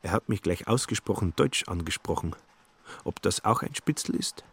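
A man's voice: deep voice, expressive, moderate pace, creaky, a bit bright, husky, exaggerated voice. An actor playing a grumpy, suspicious young male traveller in a radio play, curtly grumbling his private thoughts. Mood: wary. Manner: grumbling, curt.

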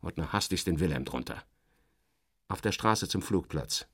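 Casting: weary, wry journalist narrating a radio drama, theatrical and very animated, close dry sound. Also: male voice, sits low, normal speed, dry recording. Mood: sardonic, impatient. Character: sardonic American male journalist, restless, weary, recounting his journey. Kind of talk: radio play first-person narration with acted dialogue.

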